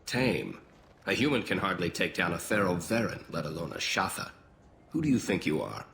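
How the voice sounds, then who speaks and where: Low-voiced alien shopkeeper, very animated. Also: male voice, normal speed, creaky, background noise, heavily acted. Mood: scornful. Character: proud alien gun shop keeper, awed and eager. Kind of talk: video game character acting.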